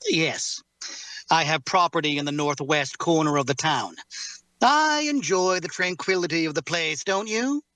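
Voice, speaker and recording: A man playing a composed, faintly menacing captor in an audio drama read, speaking in measured, formal, precise phrases. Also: fairly high pitch, animated, sharp tone, no room sound. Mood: calm, controlled. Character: composed, faintly menacing male captor tending his prisoner.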